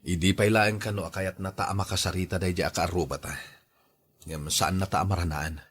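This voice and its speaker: A man's voice: sits low, steady tone, a little fast, fairly bright tone, husky. A man with a Filipino accent playing an earnest young husband and father in a radio drama. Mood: regretful, blaming.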